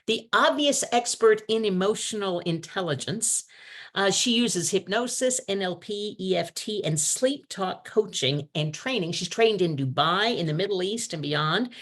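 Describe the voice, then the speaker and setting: A woman's voice: mid-range voice, lively intonation, unhurried. A woman hosting an online meeting, introducing a guest speaker in a chatty, enthusiastic way. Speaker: host. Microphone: video call.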